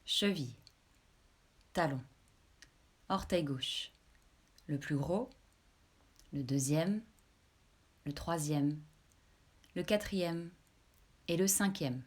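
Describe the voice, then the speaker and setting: A woman leading a guided relaxation meditation as a yoga nidra instructor, giving calm, measured instructions. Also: low voice, slightly rough.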